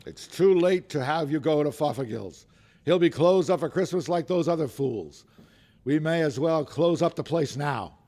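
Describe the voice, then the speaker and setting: A man's voice: on the high side, moderate pace, mellow. An actor playing a grumpy, miserly older businessman, curt and scornful, in an old-time radio drama style. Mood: grudging.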